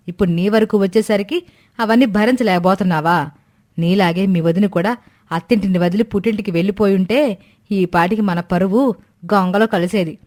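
A woman's voice: mid-range voice, a little mellow, lightly acted. Woman as a stern, scolding mother-in-law. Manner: reproachful, scolding. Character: stern, plain-spoken middle-aged mother-in-law scolding her daughter.

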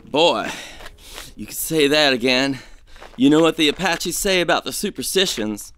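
An actor playing an uneasy, superstitious male gold prospector in an audio drama, speaking in a folksy, hesitant, excitable way. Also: fairly high pitch, moderate pace, slight background noise.

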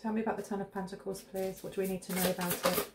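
A woman's voice: mid-range voice, little pitch movement, rapid-fire. A woman in her thirties giving a casual tarot reading to camera from her kitchen, chatty and rambling, sniffling with a cold. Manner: chatty, intuitive, rambling asides. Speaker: tarot reader. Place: home kitchen, indoors. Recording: echoey room with faint hiss.

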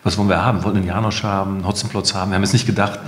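A man's voice: deep voice, steady tone, racing. A middle-aged man talking conversationally as an interview guest. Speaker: company co-founder, interview guest. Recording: echoey room.

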